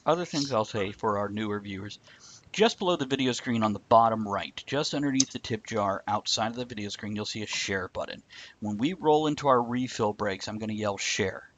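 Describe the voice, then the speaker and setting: A man's voice: fairly low pitch, fairly quick, a bit bright, dry recording. A man hosting a live online talk show, chatting casually and rambling a bit with a self-deprecating humor. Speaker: podcast host. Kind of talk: casual chat.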